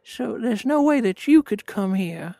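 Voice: mid-range voice, animated, measured pace, creaky, warm tone, dry recording, pitched above the performer's own voice. A performer putting on a frail, pleading, persistent elderly caller for a prank phone call. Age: older.

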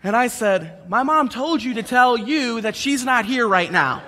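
Bright-voiced preacher in his thirties, higher-pitched man, lively storytelling sermon, long reverb of a church hall. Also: unhurried, a little hiss or hum. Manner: conversational and animated, gesturing while pacing the stage. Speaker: pastor. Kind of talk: sermon with personal stories. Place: church stage, large indoor room.

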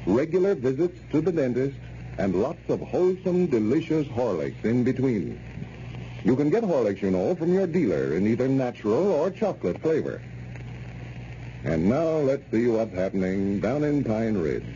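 A man's voice: medium pitch, big pitch swings, normal speed, mellow. An American man announcing on old-time radio, reading a script in a folksy, persuasive way. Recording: muffled, noisy old radio recording.

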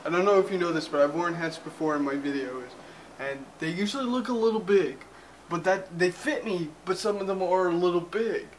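A man's voice: sits high, a little fast, a little hiss or hum, poor audio. A young man vlogging casually from a small cluttered spare bedroom, rambling with lots of fillers, speaking into a handheld camera held at arm's length as he walks around. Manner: rambling, filler-heavy, loose and chatty. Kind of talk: casual vlog chat. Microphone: handheld camera at arm's length, moving around.

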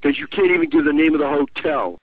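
A man's voice: on the high side, very animated, fast talker, dry recording. A blunt, insistent man making a prank phone call in short clipped demands. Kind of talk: prank phone call of repeated canned clips. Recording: thin lo-fi telephone clips.

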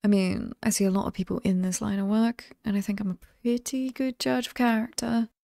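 A British woman playing a chatty, oddly sweet slasher killer in an ASMR roleplay, wry and conversational with hesitant asides, creaky in voice. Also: mid-range voice, fairly even intonation, brisk, warm tone, no room sound, clean studio sound, lightly acted. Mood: gossipy, indignant. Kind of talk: ASMR villain roleplay monologue.

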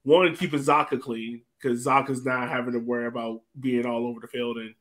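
A man's voice: mid-range voice, level tone, brisk, fairly bright tone. A man hosting a casual sports discussion over a video call. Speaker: podcast host. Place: indoor room on a video call. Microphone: video call microphone.

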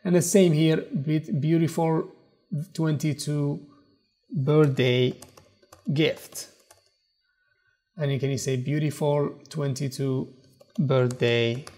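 A man walking through a software tool in a screen-recorded tutorial, explaining step by step in slightly non-native English. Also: fairly high pitch. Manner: explanatory, repeats "okay" and "as you can see". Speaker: tutorial presenter.

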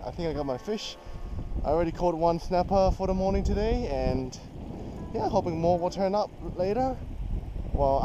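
A man from New Zealand giving casual, excitable running commentary while fishing outdoors on a rocky jetty, recorded on a head-mounted action camera. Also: a little high, noisy background. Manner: chatty, excitable, thinks aloud. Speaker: fishing vlogger.

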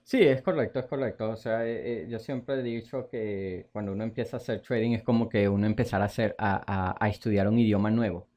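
A man's voice: fairly low pitch, fairly even intonation, fairly quick, few pauses, mellow. A man hosting a casual interview as a teacher, asking questions and repeating words for emphasis. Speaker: interview host, trading teacher.

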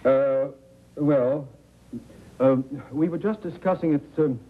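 Pompous, blustering school principal. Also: male voice, fairly high pitch, slow, phone-quality sound. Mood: flustered, stuffy. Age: middle-aged. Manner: blustering, stuffy, emphatic. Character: pompous, exasperated middle-aged school principal and father. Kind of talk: 1950s sitcom acting. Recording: noisy vintage television soundtrack.

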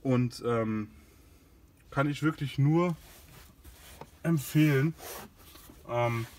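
A man's voice: mid-range voice, extremely slow, darkish tone. A man giving a casual product demonstration as a car care host, informal and a little hesitant while he works. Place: inside and beside a car in a garage.

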